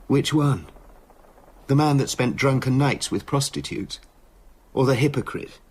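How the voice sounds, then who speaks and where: An actor playing a tormented, self-justifying doctor in a radio drama, speaking formally and intensely. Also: male voice, mid-range voice, unhurried, a little mellow, slightly rough. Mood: impassioned.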